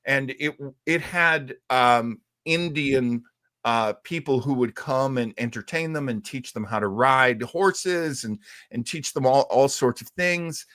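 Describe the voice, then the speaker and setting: A man co-hosting a live talk show about old radio, telling its history as an expressive storyteller. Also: mid-range voice, measured pace. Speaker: co-host.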